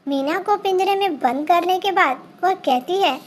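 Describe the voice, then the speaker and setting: A woman's voice: very high voice, normal speed, a little hiss or hum. A woman reading a scripted fairy tale for children as a storyteller.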